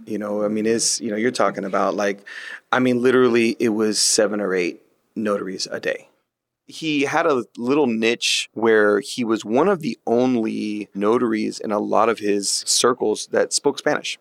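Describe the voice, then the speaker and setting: A man giving a personal reminiscence in a halting, conversational way. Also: fairly low pitch, creaky. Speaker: interviewee remembering his late father. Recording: music bed behind speech.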